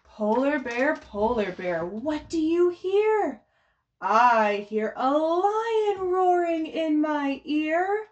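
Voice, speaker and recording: A woman with a high voice leads a storytime for babies. She takes her time, shaping phrases in a sing-song, child-directed way. Her manner is encouraging and full of simple exclamations. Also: lively intonation, very slow, dull audio, slight background noise. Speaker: children's librarian leading a baby storytime. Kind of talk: sing-song picture book read-aloud and coaching for babies and parents.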